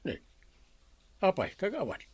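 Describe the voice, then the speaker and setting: An officious, henpecked village captain in Ilocano Filipino radio comedy. His pitch swings widely in exasperated delivery. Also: wildly swooping pitch, measured pace, husky, full character voice.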